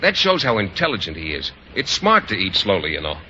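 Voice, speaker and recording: An actor playing a shy, earnest bachelor biology teacher in an old radio sitcom, naive and a little hesitant. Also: male voice, deep voice, wildly swooping pitch, fairly quick, sharp tone, heavily acted. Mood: fond, enthusiastic. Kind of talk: 1940s-50s radio sitcom acting. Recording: muffled vintage radio, light noise.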